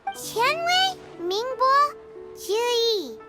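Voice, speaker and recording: A woman voicing a small, eager, childlike fairy companion with a squeaky voice in a video game. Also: sing-song, drawn-out words, heavily acted. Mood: curious. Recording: game music behind the voice.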